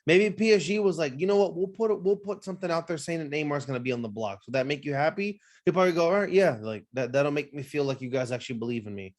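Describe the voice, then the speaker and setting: A man with a somewhat high voice co-hosts a relaxed sports podcast. He talks in loose, filler-heavy runs and slips into little quoted voices to make his point. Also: fast, hardly any pauses. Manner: filler-heavy, joking, acts out imagined quotes. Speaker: podcast co-host. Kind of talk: casual sports chat.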